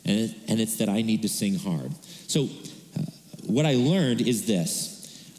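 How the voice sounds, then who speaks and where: A man preaching as a worship pastor, earnest and self-deprecating, with a loud voice, in a conversational sermon style. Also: fairly low pitch, lively intonation, unhurried. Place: church sanctuary. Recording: echoey reverberant church hall.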